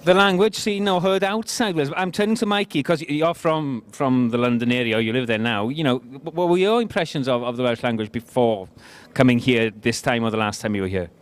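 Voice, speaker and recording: A man's voice: mid-range voice, a little fast, hardly any pauses, clear, resonant tone. A man hosting a bilingual street conversation outdoors, laughing often and switching between two languages. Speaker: host.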